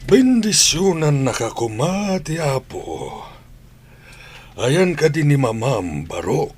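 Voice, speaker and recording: Actor as an animated elderly grandfather. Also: a little high, takes it slowly, slightly rough, heavily acted. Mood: concerned. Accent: Ilocano. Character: elderly grandfather, concerned, admonishing family patriarch. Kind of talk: Ilocano radio drama acting.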